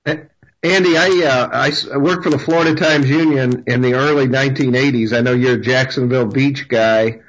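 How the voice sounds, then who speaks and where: A man hosting a radio interview, speaking haltingly with many fillers and repeated words. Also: mid-range voice, steady tone. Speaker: radio host. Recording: dull lo-fi radio sound.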